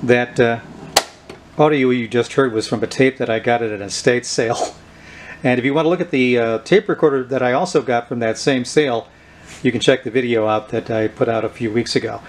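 A man hosting a casual hands-on demonstration, explaining things in an unhurried, informal way. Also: mid-range voice. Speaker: host of a vintage electronics video. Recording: clear steady background noise.

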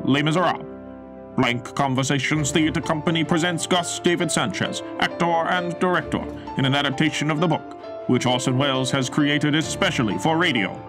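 A man announcing a radio drama in a formal, scripted, presentational style. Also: fairly high pitch.

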